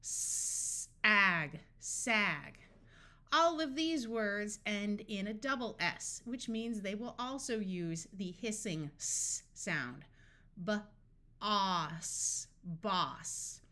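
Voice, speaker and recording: Very slow-paced woman teaching phonics. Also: medium pitch, lively intonation, pushed and bright. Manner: deliberate, sounds out words piece by piece. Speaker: reading tutor. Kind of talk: scripted phonics lesson narration.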